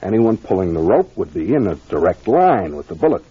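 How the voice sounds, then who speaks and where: Lively, husky American radio detective captain. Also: male voice, low-pitched, exaggerated voice. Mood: assured, explanatory. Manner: deliberate, authoritative, explanatory. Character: confident young male detective captain explaining a case. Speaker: actor playing the investigator. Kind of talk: 1940s American radio mystery acting. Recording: muffled old radio recording.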